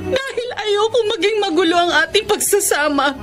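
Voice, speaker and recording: Casting: betrayed middle-aged Filipino wife, shrill-high, anguished, confrontational radio drama delivery over music. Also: female voice, animated, normal speed. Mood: bitter, anguished. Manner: tearful, confrontational, emotionally heightened.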